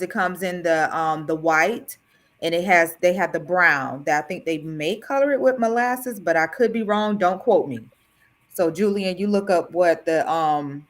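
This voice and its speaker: A woman's voice: on the low side, unhurried. A chatty woman from Florida giving sea moss advice on a group video call. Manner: chatty, lively, gives advice. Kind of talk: casual group chat on a call.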